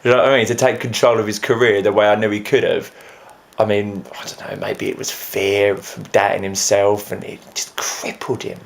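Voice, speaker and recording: A man performing a dramatic stage monologue as a grieving, frustrated friend of a lost musician, speaking earnestly with halting repetition. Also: on the low side, little pitch movement, gravelly. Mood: frustrated sorrow. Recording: faint noise behind the voice.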